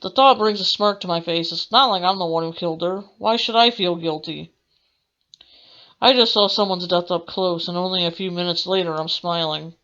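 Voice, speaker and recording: A woman's voice: lowish voice, moderate pace, a bit bright, dull audio. A woman hosting a gaming let's play, reading aloud in a dramatic manner with the odd stumble. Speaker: gaming channel host.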